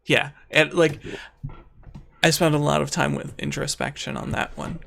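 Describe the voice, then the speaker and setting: Gravelly, higher-voiced American man. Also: flat delivery, normal speed, fairly bright tone, slight background noise. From United States. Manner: thoughtful, self-deprecating. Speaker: guest YouTuber. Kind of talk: casual conversation.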